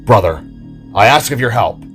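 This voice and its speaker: A man's voice: mid-range voice, animated, moderate pace, fairly bright tone, faint music behind, close to an everyday voice. A man voicing a commanding, formal metal-bodied elder-brother warrior in a fantasy audio drama, grave and authoritative.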